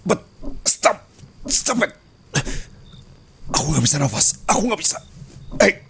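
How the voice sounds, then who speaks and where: A man's voice: high voice, big pitch swings, a little slow, creaky, fairly bright tone, slight background noise, pitched above the performer's own voice, full character voice. A man playing a dominant, teasing rich husband in an intimate ASMR roleplay, taunting and possessive, mixing Indonesian with English. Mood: strained pleading.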